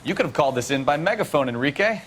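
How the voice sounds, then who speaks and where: A wisecracking detective partner. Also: male voice, on the high side, expressive, racing, fairly bright tone, a little hiss or hum. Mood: wry. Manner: wry, chatty. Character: wisecracking 1940s detective partner man, talkative.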